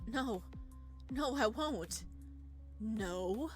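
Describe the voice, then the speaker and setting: A woman's voice: fairly high pitch, expressive, drawn-out words, pitched above the performer's own voice. Woman acting a panicked, stammering young man. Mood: panicked, pleading. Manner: stammering, pleading. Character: panicked, guilt-stricken young man refusing a ritual. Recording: game music behind the voice.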